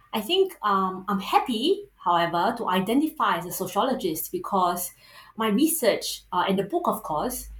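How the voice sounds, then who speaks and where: A woman with a Singaporean accent, a sociologist and author answering interview questions thoughtfully, pausing often with ums and uhs. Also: medium pitch, roomy sound.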